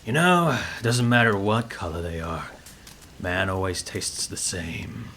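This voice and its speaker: An actor playing a rough, mocking guide with a slow, cackling drawl in a radio drama. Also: male voice, low voice, big pitch swings, fairly bright tone, slight background noise, heavily acted. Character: rough, mocking male wilderness guide who teases people.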